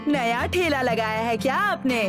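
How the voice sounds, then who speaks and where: Woman as a friendly food stall customer lady, high voice, cartoon, loud music behind her. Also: expressive, a little slow. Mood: curious.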